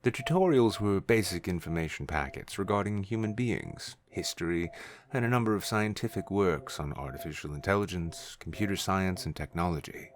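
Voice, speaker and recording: A man's voice: deep voice, lively intonation, moderate pace. A man reading scripted narration for a science fiction story. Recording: music under the voice.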